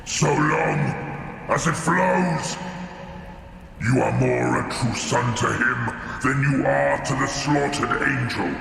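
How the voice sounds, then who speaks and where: A man's voice: medium pitch, gravelly, exaggerated voice. A man playing a taunting, tempting demon of a war god in an audio drama, with menacing, grandiose delivery in a vast echoing space. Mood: sneering, taunting. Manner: slow, menacing, grandiose declamation. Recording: cavernous echoing reverb over loud music.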